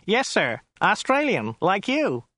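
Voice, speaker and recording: High, whiny, nasal chatty driver. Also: male voice, wildly swooping pitch, slow pace, pushed and bright, no echo, clean studio sound, full character voice. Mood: obtuse, curious. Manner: rambling, obtuse, insistent. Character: large sweaty dim chatty American limo driver, male.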